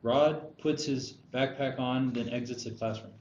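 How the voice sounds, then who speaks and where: A man's voice: mid-range voice, fairly even intonation, unhurried, a little mellow. A man reading scripted stage directions as narrator at a screenplay table read. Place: roomy indoor table read. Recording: echoey room, dull sound, faint noise.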